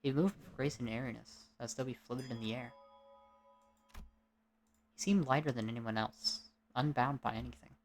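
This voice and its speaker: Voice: medium pitch, steady tone, moderate pace, pauses often, soft background music. An amateur narrator reading a dramatic story at home, in a scripted storytelling style. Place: at home, recording indoors.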